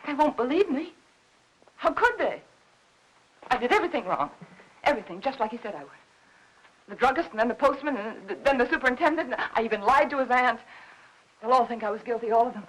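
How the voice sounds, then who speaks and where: An actress playing a distraught, guilt-ridden wife in an old-time radio drama, speaking with stammering emotion. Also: female voice, a little high, lively intonation, brisk, long pauses, rough recording. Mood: frantic, fearful. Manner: stammering, breathless, emotional delivery.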